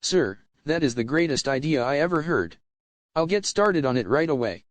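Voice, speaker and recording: A man's voice: fairly high pitch, lively intonation, normal speed, no echo, close to an everyday voice. A man playing an eager, obedient hacker henchman in an amateur audio drama, speaking deferentially to his boss.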